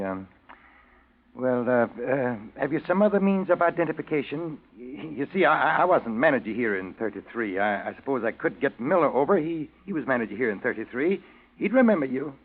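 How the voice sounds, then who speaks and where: An actor playing a polite, fussy bank manager in a 1940s radio drama, hesitant and deferential, on a thin old recording. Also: male voice, mid-range voice, animated, normal speed, phone-quality sound, natural voice. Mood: flustered, deferential. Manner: courteous, hesitant, stammering.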